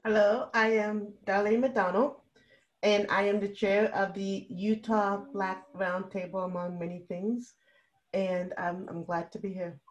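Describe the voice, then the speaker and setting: Even-toned woman organization chair. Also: medium pitch, measured pace. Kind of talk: self-introduction at a meeting. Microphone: video call.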